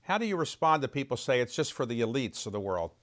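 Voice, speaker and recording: A man interviewing a guest, asking direct, measured questions. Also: mid-range voice, very animated, a bit bright.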